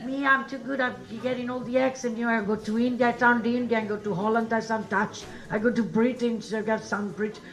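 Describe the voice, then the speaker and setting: A woman's voice: on the high side, steady tone, brisk, few pauses, rough recording. A woman from Italy doing conversational stand-up comedy as the host of a show.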